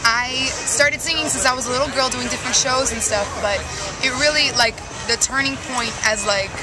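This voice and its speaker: Chatty young woman over loud party music. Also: high voice, moderate pace, low-quality recording. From Colombia, raised in Miami. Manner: chatty, many fillers, laughs often. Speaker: musician guest. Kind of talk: casual interview. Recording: loud music and crowd noise. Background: loud music and crowd.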